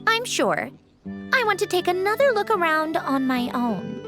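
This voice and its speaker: A woman's voice: very high voice, big pitch swings, measured pace, over music, full character voice. A woman voicing a proud, guarded young woman in a video game, clipped, matter-of-fact and aloof. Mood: brisk, guarded. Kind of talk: English video game voice acting.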